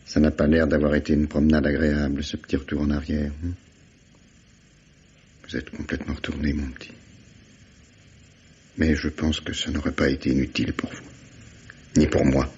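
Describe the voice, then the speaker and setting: Husky, very deep, weary detective. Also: male voice, flat delivery, moderate pace, pauses often, darkish tone, heavily acted. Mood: mock-paternal, controlled. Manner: menacing, insistent, mock-paternal questioning. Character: gruff, weary, relentless male police detective interrogating a suspect. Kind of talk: 1950s French radio mystery acting. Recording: dull vintage radio drama recording.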